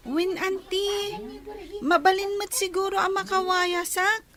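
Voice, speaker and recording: A woman's voice: very high voice, fairly even intonation, unhurried, a bit bright. A woman playing a timid young schoolgirl with a thin, childlike voice in a radio drama. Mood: questioning, polite. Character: young schoolgirl, timid, pleading with her aunt.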